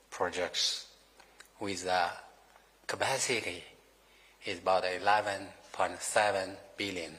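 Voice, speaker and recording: A man giving formal remarks as a company executive in halting English, full of fillers and grammar slips. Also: on the low side, pushed and bright. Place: formal meeting room. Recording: reverberant meeting hall.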